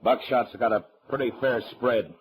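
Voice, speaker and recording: An actor playing a stern, unflinching western marshal in an old-time radio drama, speaking in a curt, commanding, steady manner. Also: male voice, medium pitch, normal speed, natural voice, poor audio. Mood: stern, firm. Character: stern, unflinching frontier US marshal, grown man.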